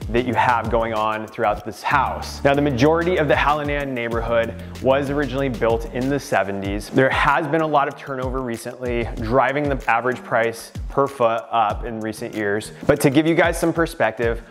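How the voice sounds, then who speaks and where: A man's voice: mid-range voice, normal speed, hardly any pauses, a bit bright, soft background music. Upbeat man touring a house. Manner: upbeat, informative salesman patter. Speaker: real estate agent giving a home tour. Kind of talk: walkthrough home tour narration. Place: inside a renovated house.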